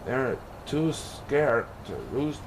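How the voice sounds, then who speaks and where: A man reading a rhyming children's story aloud in an expressive, playful, sing-song way. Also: mid-range voice, extremely slow, background noise. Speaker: picture book reader.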